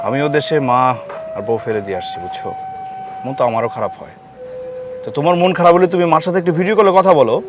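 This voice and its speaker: An actor playing a brisk but caring boss of migrant workers in a TV drama, instructive and repetitive, with a Bangladeshi accent. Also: male voice, fairly high pitch, big pitch swings, noisy background. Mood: consoling. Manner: instructive, repeats himself. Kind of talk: TV drama acting.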